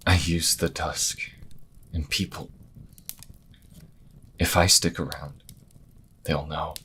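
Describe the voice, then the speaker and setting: Guilt-ridden young healer priest, deep and slow, stammering, fantasy audio drama. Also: male voice, level tone, bright, forceful tone, a little hiss or hum, heavily acted. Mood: guilty, panicked. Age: young adult. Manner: hesitant, stammering, agonized.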